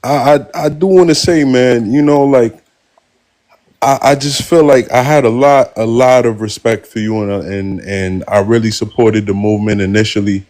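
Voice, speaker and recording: A man from Brooklyn, New York, calling in with a sore throat, speaking in a measured, hesitant, polite way. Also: mid-range voice, steady tone, a little mellow. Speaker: caller.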